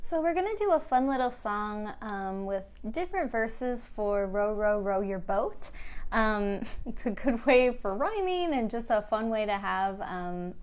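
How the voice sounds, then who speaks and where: A woman's voice: on the high side, lively intonation, unhurried. A woman hosting a storytime for young children, giving simple, encouraging instructions as a children's librarian. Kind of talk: children's storytime with songs and rhymes.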